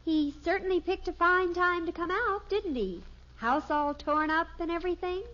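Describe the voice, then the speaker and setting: Earnest teen girl, extremely high and excitable, muffled vintage radio comedy sound. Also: moderate pace. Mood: excited. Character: earnest, excitable teenage daughter in family sitcom. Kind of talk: 1950s radio sitcom acting. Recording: muffled old radio recording.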